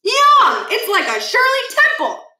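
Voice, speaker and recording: A woman reading a storybook as an excitable, goofy, easily amazed schoolboy with a wide-eyed, exclamatory way of talking. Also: extremely high pitch, a little slow, reverberant, pitched above the performer's own voice, heavily acted. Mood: delighted.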